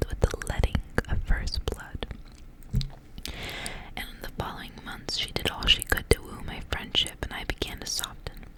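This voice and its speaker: Female ASMR reader: fully whispered, soft and breathy, hushed storytelling delivery. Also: low-quality recording. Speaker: ASMR reader and channel host. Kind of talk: ASMR whispered storybook reading with casual asides.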